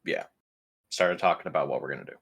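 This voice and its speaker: A man's voice: extremely low pitch, fast, vocal fry, fairly bright tone. A man taking part in a tabletop game, chatting casually in a blunt, jokey way. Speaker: roleplaying game player. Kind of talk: casual chat.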